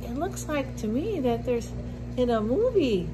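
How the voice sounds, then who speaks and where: A middle-aged woman reading a picture book aloud to young children, with deliberate simple phrasing and little asides. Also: high-pitched, big pitch swings, over music. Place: indoor classroom with sink and counter.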